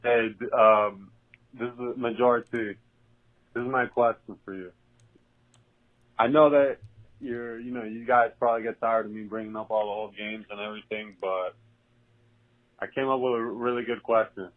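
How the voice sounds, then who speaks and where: A man's voice: medium pitch, fairly even intonation, normal speed, long pauses, no room sound. A man leaving a casual, chatty voicemail as a caller, recorded over a narrow, tinny phone line. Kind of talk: casual chat.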